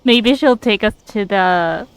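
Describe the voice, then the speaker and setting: Woman streamer chatting over gameplay, slow relaxed pace, bit of noise behind her. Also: medium pitch. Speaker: game streamer. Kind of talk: casual playthrough commentary.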